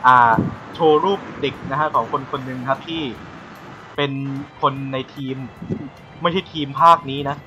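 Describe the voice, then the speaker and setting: A man's voice: medium pitch, fairly even intonation, normal speed, bright, forceful tone, highs cut off, faint music behind. A man hosting a casual live podcast, bantering and often restarting his phrases. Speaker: podcast host.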